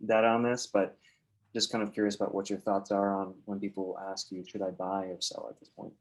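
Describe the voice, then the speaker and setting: A man with a somewhat low voice hosts a casual interview, asking questions in a relaxed, chatty way. He grew up in Oregon and works as a real estate agent. His talk is loose, with frequent fillers and restarts. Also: brisk. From Oregon, USA.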